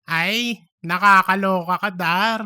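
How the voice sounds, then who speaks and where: Man playing slow, bright-voiced campy office gossip. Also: high-pitched, clear, resonant tone, no room sound, clean studio sound, full character voice. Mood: boastful. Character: gossipy, cheeky, self-promoting office worker named Cheese. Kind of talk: comedic audiobook dialogue.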